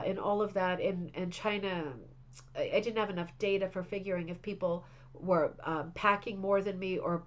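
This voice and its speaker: A woman's voice: mid-range voice, dull audio. A woman hosting a craft club livestream, chatting casually and reading viewer messages with enthusiasm, sounding slightly congested. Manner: chatty, enthusiastic, frequent restarts and fillers.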